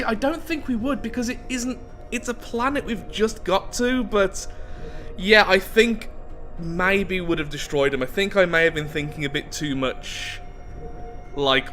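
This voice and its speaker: A man's voice: a little high, pushed and bright. A man giving chatty gaming commentary, musing aloud and self-deprecating as he switches between his own thoughts and reading story text. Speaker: host of a game playthrough series.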